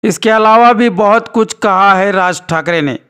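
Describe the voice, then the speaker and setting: A male news reporter with a high, clean and resonant voice. He reads scripted narration and commentary, using formal, rhetorical phrasing. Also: moderate pace, a bit bright, smooth.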